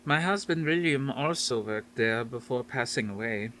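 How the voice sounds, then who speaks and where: A man's voice: mid-range voice, animated, moderate pace, pushed and bright, slight background noise, voice pushed up. A man reading an audiobook, voicing a stately grandmother near seventy with a faint Dutch accent who speaks firmly and formally. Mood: amused. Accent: faint Dutch, as put on. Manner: firm, soothing, formal phrasing.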